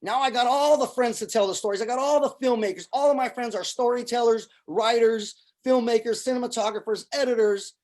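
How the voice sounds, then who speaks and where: A man's voice: very high-pitched, brisk, few pauses, a little mellow. A middle-aged man from Syria, a film producer and army veteran, talking earnestly in a casual conversation and often repeating words as he starts a thought.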